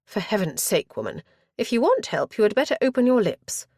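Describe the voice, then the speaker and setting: A woman's voice: mid-range voice, sing-song, brisk, a little mellow, husky, no echo, clean studio sound, voice pushed up. A woman voicing an imperious aristocratic older mother who speaks in a commanding, impatient way.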